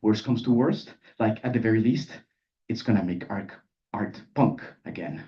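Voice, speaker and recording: A man's voice: fairly low pitch, moderate pace, warm tone, natural voice. A man voicing a touchy, macho male grad student in a live story reading, slangy and posturing. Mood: earnest. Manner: slangy, earnest, then threatening. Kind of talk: character dialogue in a live literary reading. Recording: dull sound, distant microphone.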